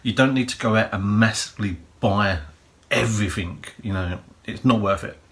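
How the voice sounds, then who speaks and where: A man with a slightly low, croaky voice talks through practical preparation tips in a loose, rambling way. He hosts his own prepping channel, and his casual delivery leans on fillers and "you know" as he explains. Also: moderate pace, a bit bright. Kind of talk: casual advice monologue.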